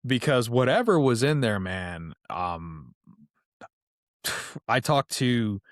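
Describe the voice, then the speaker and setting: A man with a lowish voice chats through his stories as a podcast guest, loose and unpolished, leaning on "like" and dropping swear words freely. The sound is dry and close, with no room around it. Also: no echo. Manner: loose and chatty, heavy on "like", frequent swearing. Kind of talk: casual storytelling chat.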